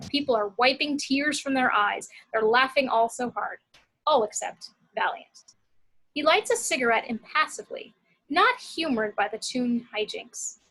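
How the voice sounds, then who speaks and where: A woman narrates screenplay action at a table read. Her voice is fairly high and bright, with a noticeably husky edge. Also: low-quality recording. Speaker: narrator reading action descriptions.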